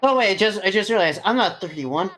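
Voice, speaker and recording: Animated man in his thirties, casual chat. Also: high voice, fast. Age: 30s. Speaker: stream co-commentator.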